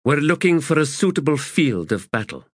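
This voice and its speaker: A man's voice: on the high side, sing-song, moderate pace, slightly rough, dry recording, studio quality, voice pushed up. A man voicing a no-nonsense female army commander who speaks in a clipped, commanding way. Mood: firm.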